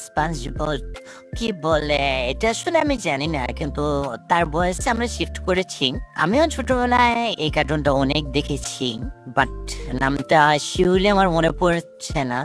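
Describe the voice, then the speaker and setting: A man's voice: fairly high pitch, fairly bright tone. A man chatting casually through a funny cartoon voice-changer effect. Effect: AI voice changer, funny cartoon sponge preset. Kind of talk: casual product demo narration.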